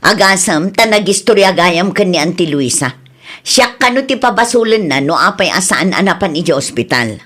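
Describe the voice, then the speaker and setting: A woman's voice: on the low side, expressive, normal speed, a bit bright. An actress playing an exasperated, scolding woman in an Ilocano comedy radio drama, emphatic, with a Filipino accent. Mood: indignant.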